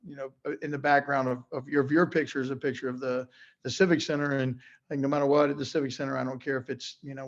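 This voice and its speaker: A middle-aged coach with a loud voice and a gravelly edge answers at full energy, stumbling over uhs and repeated words. His pitch stays fairly flat. The audio is a bit muffled. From West Virginia. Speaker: basketball coach. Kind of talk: casual interview answers.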